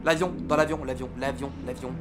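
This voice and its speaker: A man's voice: mid-range voice, fairly even intonation, brisk, over music, natural voice. A man playing a harried, irritable French army captain in the trenches, barking exasperated orders and swearing in a comic audio war drama. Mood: interrogating, puzzled.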